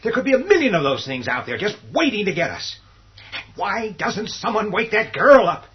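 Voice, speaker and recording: An actor playing a selfish, abrasive family man, raspy and complaining in agitation, in a horror radio play. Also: high-pitched, sing-song, normal speed, heavily acted. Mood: panicky, impatient. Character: panicky, selfish, abrasive husband and father. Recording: dull, muffled audio.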